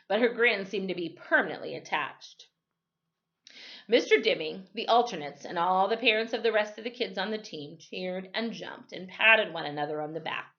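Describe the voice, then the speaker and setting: A woman's voice: medium pitch, sharp tone, muffled recording. A woman teacher reading a story aloud expressively, with dramatic emphasis and the odd corrected slip. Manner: reads in the first person with dramatic emphasis, correcting slips.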